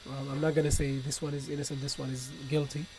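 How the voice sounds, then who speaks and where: A man's voice: medium pitch, level tone, quick pace. A young man from Ethiopia answering interview questions as a student guest, choosing his words carefully and hesitantly. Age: young adult. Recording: clearly noisy background ambience.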